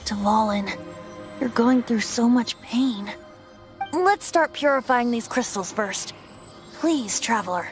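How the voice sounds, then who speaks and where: An actress voicing a gentle, whimsical youthful bard in an anime game, with an expressive delivery. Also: female voice, a little high, takes it slowly, music in the background. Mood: concerned, gentle.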